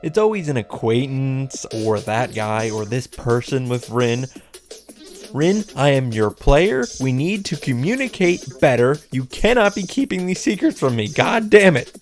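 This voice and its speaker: A man's voice: mid-range voice, big pitch swings, unhurried, soft background music. A man narrating a scripted story recap, breaking into sarcastic, exasperated reactions and swearing. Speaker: host of visual novel reaction and summary videos.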